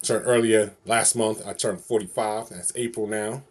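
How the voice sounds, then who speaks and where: A man's voice: lowish voice, steady tone, moderate pace, slightly rough. A man talks straight to a webcam in a small bedroom. He rambles casually and loops back over the same phrases again and again. The sound is plain and close, picked up by the camera's own mic. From New York City. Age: 40s. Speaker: vlogger. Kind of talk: casual solo vlog to camera.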